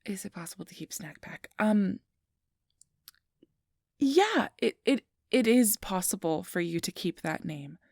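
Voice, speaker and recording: A woman playing a friendly, easily flustered registration clerk in a soft-spoken ASMR roleplay, gentle, hesitant and reassuring. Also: mid-range voice, animated, unhurried, creaky, slightly rough, no echo, studio quality, exaggerated voice. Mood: gentle, encouraging. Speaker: interviewer.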